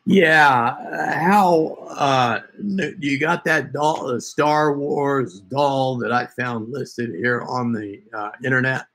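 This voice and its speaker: A man in his forties with an American accent, chatting casually to a camera in a halting, half-muttering way. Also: mid-range voice, fairly bright tone. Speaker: prank caller and video host. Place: home room with wood shelves, indoors. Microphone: desk camera at arm's length.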